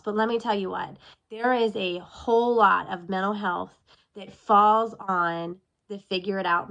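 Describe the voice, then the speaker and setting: A woman's voice: medium pitch, a bit bright. Sniffly woman in a casual video check-in. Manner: candid, chatty, goes off on tangents. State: sniffly from allergies. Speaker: military spouse vlogger. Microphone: self-recorded video.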